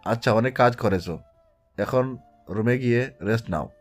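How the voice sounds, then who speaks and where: A man playing a calm, placating middle-aged father in an audio drama. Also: mid-range voice, moderate pace, soft background music, close to an everyday voice. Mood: apologetic, kindly. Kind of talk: Bengali audio drama acting.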